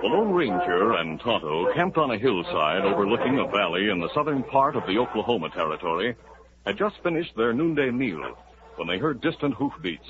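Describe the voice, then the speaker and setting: A man narrating a radio drama as announcer, in a booming, declamatory delivery. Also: very animated, a little fast. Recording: muffled, hissy 1950s radio broadcast.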